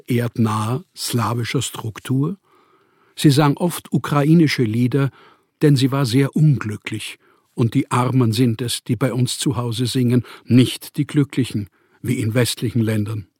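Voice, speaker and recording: Homeless, proud literary man in radio play. Rough-edged, reflective, melancholy, dry studio sound. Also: mid-range voice, darkish tone, no echo. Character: melancholy, proud, rootless Austrian writer, man. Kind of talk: autobiographical radio play monologue.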